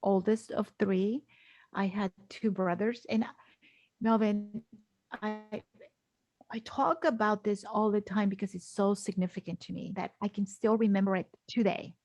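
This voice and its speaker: Slow-paced, earnest middle-aged woman, close dry sound. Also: medium pitch, fairly even intonation, darkish tone, no echo. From Guatemala. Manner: earnest, emphatic, repeats phrases for weight. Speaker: podcast guest, speaker and executive coach. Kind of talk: personal storytelling in an interview.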